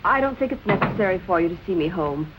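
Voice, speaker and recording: A woman's voice: fairly low pitch, expressive, fast, noisy background, lightly acted. An actress playing a jilted, nervous and vengeful young woman in 1940s film noir style. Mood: nervous, guarded. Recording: dull vintage film soundtrack with music.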